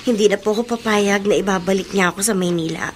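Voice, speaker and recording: A woman's voice: medium pitch, quick pace, slight background noise, lightly acted. An actress playing a meek, earnest teenage girl in a Filipino radio drama, with a polite, hesitant way of talking.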